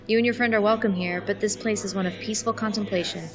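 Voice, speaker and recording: A woman's voice: medium pitch, fairly even intonation, quick pace, music in the background, lightly acted. A woman playing a devout, serene cult leader in an audio drama, with formal, reverent phrasing. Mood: serene, welcoming.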